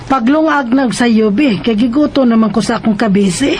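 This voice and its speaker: An actress playing a busy schoolteacher who employs a student helper, in a radio drama. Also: female voice, fairly high pitch, brisk, a little mellow, soft background music, lightly acted. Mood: impatient, calling out. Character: obliging single schoolteacher employer, busy and bossy at home. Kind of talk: Cebuano radio drama acting.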